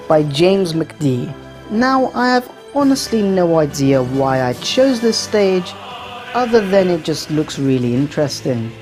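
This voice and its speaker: A woman's voice: sits low, a little mellow. A woman giving casual, chatty and opinionated video game commentary as the host of a showcase. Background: background music.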